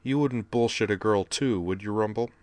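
A man voicing an exasperated, sarcastic young woman, his voice lifted in pitch. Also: normal speed, a little mellow, dry recording, close to an everyday voice. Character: exasperated young woman, sharp-tongued tech worker.

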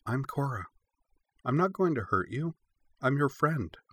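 Man voicing a gentle but defiant young girl, pitched up. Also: sing-song, measured pace, slightly rough, dry recording. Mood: gentle, soothing. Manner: soft reassuring coaxing, scornful when crossed.

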